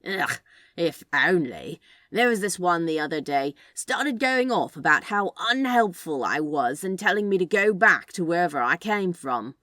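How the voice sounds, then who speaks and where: A woman's voice: fairly low pitch, lively intonation, normal speed, fairly bright tone, no room sound, clean studio sound. A woman voicing a sly, laid-back male office worker who plays at being a spy, with a drawling, hesitant, conspiratorial manner. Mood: sardonic.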